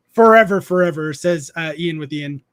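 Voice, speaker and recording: A man in his thirties hosts a relaxed evening stream with friends, talking in a noticeably high voice. He rambles, restarts his sentences and stops to read viewers' messages aloud. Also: clear, resonant tone. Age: 30s. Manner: rambling, restarts often, reads chat aloud. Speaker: streamer host. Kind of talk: casual live-stream chat.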